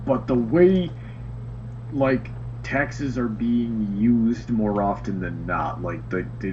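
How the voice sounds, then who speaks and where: A man's voice: lowish voice, a little mellow. A man co-hosting a casual live stream, reading viewer comments aloud and chatting, often restarting his sentences. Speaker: stream co-host reading chat. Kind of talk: casual chat. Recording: lo-fi stream audio, faint noise.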